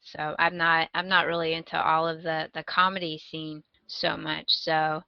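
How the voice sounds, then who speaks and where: A woman's voice: deep voice, level tone, moderate pace, vocal fry, dry recording, dull audio. A woman co-hosting a podcast, chatting casually and giving recommendations. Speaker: podcast co-host.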